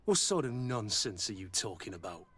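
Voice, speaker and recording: An actor playing a blunt, no-nonsense military captain in a video game, with a curt, firm manner. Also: male voice, fairly low pitch, big pitch swings, moderate pace, a bit bright, breathy edge, full character voice. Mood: stern, impatient. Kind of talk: video game character acting.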